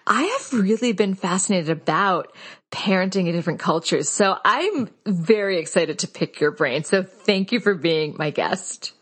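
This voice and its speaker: A woman's voice: fairly low pitch, animated, normal speed, a bit bright, breathy edge. A young woman hosting an interview in a bubbly, chatty way. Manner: bubbly, laughs often. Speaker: podcast host. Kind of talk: interview conversation.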